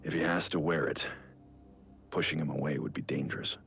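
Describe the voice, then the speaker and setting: An actor playing a brooding, guilt-ridden middle-aged vigilante detective, speaking grave, measured audio logs for a video game. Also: male voice, rumbling low, steady tone, gravelly, a bit bright, lo-fi sound, full character voice. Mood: brooding, guilty. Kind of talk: scripted audio log narration for a video game.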